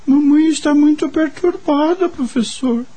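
A woman playing the gentle, forgiving spirit of a young boy, earnest and childlike, in a radio drama. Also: high voice, a little slow, low-quality recording. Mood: earnest, concerned. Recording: faint music under the voice.

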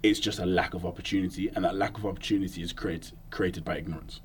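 A lowish-voiced man talks as a guest in a casual chat. His voice is husky, and he rattles off points quickly with emphatic slang. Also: steady tone. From Jamaican heritage.

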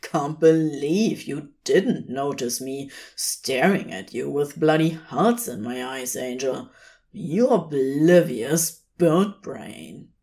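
A woman voicing a teasing, gruffly affectionate male demon with a sardonic, firm drawl. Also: low-pitched, expressive, slow, mellow, voice pushed down, heavily acted.

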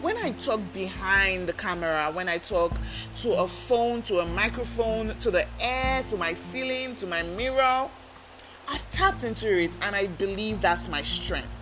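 A woman's voice: mid-range voice, lively intonation, measured pace, music in the background, low-quality recording. A woman hosting a self-help podcast, talking earnestly and coaching listeners with direct questions. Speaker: podcast host. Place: talking alone into a microphone.

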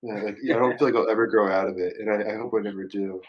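Low-voiced, thoughtful young man. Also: steady tone, very fast, breathy edge, dull audio. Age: early 20s. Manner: rambling, youthful slang, thoughtful. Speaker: musician guest. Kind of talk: casual reflective interview chat.

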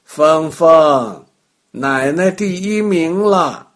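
A man voicing a kindly, playful old grandmother in a children's story, indulgent and unhurried. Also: a little high, lively intonation, a bit bright, pitched above the performer's own voice, full character voice. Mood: triumphant.

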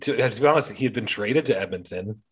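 A man's voice: on the low side, expressive, fast, breathy edge. A man hosting a casual sports podcast, sardonic and profane, opening with a mock-dramatic intro. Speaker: podcast host. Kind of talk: casual hockey chat.

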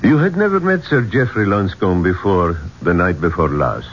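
Deep-voiced, formal police inspector. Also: male voice, animated. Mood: probing. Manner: formal, measured questioning. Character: formal, probing male Cairo police inspector. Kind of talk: 1970s radio drama acting. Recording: dull, noisy 1970s radio recording.

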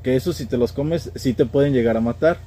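A man's voice: mid-range voice, quick pace, warm tone, a little hiss or hum. A young man with a central Mexican accent, telling stories in a casual, slangy way as a podcast guest. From State of Mexico, Mexico. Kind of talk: casual conversational storytelling.

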